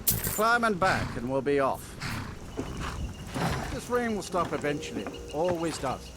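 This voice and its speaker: A highish, very lively male voice plays a plain-spoken fantasy game carriage driver. He is folksy and easygoing, with loud outdoor ambience around him. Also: a little slow, noisy background. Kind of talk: scripted video game dialogue.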